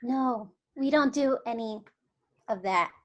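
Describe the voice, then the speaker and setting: A high-pitched, bossy alien examiner, acted by a woman, with dry, blunt delivery and open scorn for humans. It sounds like a part in a comedy script table read. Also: slow, muffled recording. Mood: disdainful.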